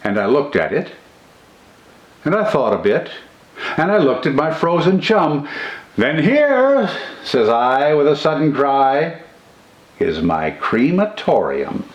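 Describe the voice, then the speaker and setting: A man's voice: fairly high pitch, expressive, measured pace, lo-fi sound. Old man reciting with theatrical sweep. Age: older. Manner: enthusiastic, theatrical. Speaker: poetry reciter. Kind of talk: dramatic recitation of a poem from memory. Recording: roomy echo.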